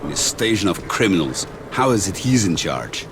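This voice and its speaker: A man's voice: deep voice, big pitch swings, moderate pace, noisy background. A man voicing a resentful gunman in a video game who mutters and presses his case. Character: restless male gunman, resentful and eager to fight.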